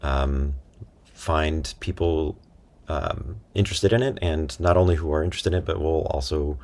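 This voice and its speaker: A man speaking casually as an interview guest, thinking aloud in a halting, conversational way. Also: very deep voice, vocal fry, a little mellow. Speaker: interview guest, audio drama creator and voice actor.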